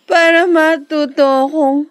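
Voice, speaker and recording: A young Filipino woman playing a naive, devoted daughter in a radio drama, plaintive, halting and emotional. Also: sits high, steady tone, no room sound. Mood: drunk, giddy. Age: young adult.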